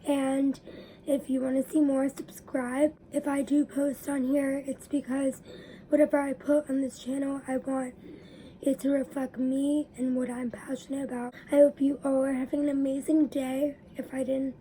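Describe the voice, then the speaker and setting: A young woman speaks to her viewers in a raspy, high voice with a very dark, mellow tone. She explains things earnestly, and now and then a word fades into a whisper. A faint hiss of room noise sits underneath. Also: level tone, moderate pace, poor audio. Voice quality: raspy from allergies. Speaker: vlogger. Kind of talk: personal talk to camera.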